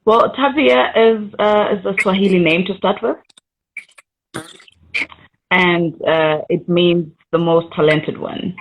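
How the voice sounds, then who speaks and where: A woman's voice: on the low side, takes it slowly, pauses often, a little hiss or hum, rough recording. Chatty South African woman singer. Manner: chatty, laughs easily, relaxed storytelling. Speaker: guest singer. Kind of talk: casual interview chat.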